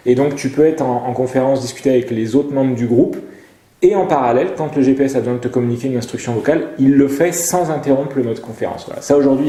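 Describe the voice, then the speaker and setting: A man's voice: mid-range voice, mellow, roomy sound. A man presenting a question-and-answer show, explaining technical things in an informal way. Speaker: web show presenter and motorcycle gear retailer. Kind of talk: casual explanatory talk.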